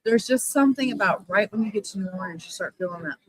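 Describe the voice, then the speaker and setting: A woman's voice: mid-range voice, animated, fast talker, rough recording. A woman hosting a podcast interview, prompting the guest with short encouraging reactions. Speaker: podcast host. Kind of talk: live interview chat.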